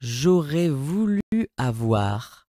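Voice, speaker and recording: A man reads short phrases for learners at a very slow pace. His voice is mellow and dark. The recording is dry and close, and each phrase is repeated, sometimes broken into single words. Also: mid-range voice, big pitch swings, dry recording. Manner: says each phrase several times, sometimes word by word. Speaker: French language speaker.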